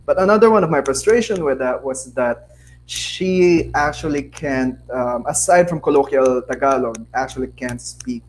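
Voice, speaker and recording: Measured Filipino man, faint hum. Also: mid-range voice, poor audio. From Philippines. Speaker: scholar and co-author. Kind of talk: casual interview chat.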